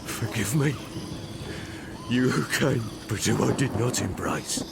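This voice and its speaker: An actor playing a rough, guilt-ridden middle-aged lord and father in a video game, blunt and heavy in delivery, with a gruff voice. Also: mid-range voice, a little slow, heavy background noise. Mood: solemn, shaken. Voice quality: gravelly, gruff.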